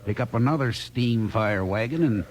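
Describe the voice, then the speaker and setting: A man's voice: medium pitch, animated, moderate pace, natural voice. An actor playing a slick, pushy, scheming salesman villain in a 1950s radio western. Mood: smug scheming. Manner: smug, pushy, threatening.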